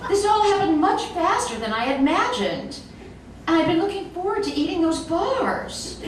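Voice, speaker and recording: A woman reading a comic short story aloud in an animated, expressive storytelling manner. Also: high voice. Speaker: reader. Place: echoey room, recorded from a distance. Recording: very echoey room, distant mic, noisy.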